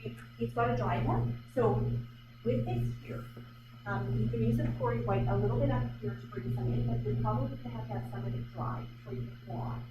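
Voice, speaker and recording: A woman's voice: on the high side, quick pace, lo-fi sound. Chatty woman painting in an echoey gallery. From Vancouver Island, Canada. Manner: chatty, self-questioning, hesitant with fillers. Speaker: artist leading a painting demonstration. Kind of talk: live painting demonstration, thinking aloud. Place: art gallery. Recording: echoey room from far off, loud background noise.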